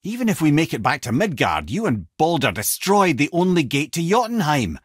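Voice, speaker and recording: A clever old Scottish talking-head advisor from a video game. He is an eloquent, wry storyteller with a husky grain and very lively pitch. The sound is close and dry, with no room to it. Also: brisk, dry recording, heavily acted. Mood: earnest. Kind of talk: video game character voice lines.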